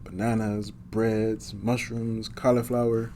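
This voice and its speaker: A man's voice: on the low side, flat delivery, slow pace, dark tone, slight background noise. A man reading a picture book aloud to children in a superhero persona, adding small asides as he goes.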